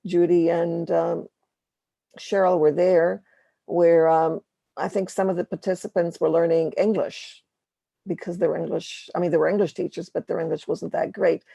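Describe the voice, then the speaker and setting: A woman with a gravelly, creaky voice and a mellow, dark tone. She chats informally in an online meeting, a bit hesitant. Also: lowish voice, flat delivery, moderate pace, dry recording. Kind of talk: casual discussion in an online meeting. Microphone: online video call.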